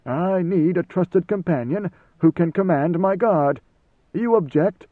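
A man voicing an ancient, godlike ruler who speaks in a calm, knowing, lordly and deliberate way, as in an audiobook. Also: high-pitched, pitched above the performer's own voice. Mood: lordly, probing. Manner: measured, deliberate, formal. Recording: slightly roomy, phone-like narrow sound.

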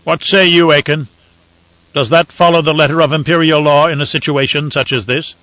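A male narrator voicing a cold, imperious emperor who speaks in a stern, measured way. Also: fairly high pitch, fairly even intonation, fairly bright tone, no echo, muffled recording, voice pushed up, lightly acted.

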